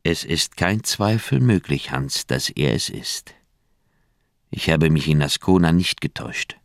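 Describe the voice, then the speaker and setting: A sombre older doctor, worried and grave. The voice is very deep and slow, in a dry radio-drama recording. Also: male voice, lively intonation, dry recording, heavily acted.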